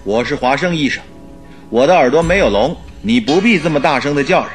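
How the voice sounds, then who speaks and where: Sidekick doctor, male actor: earnest and questioning, slightly high male voice, very animated, radio play. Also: brisk, soft background music, natural voice. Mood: indignant. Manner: earnest, inquisitive. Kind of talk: radio drama acting.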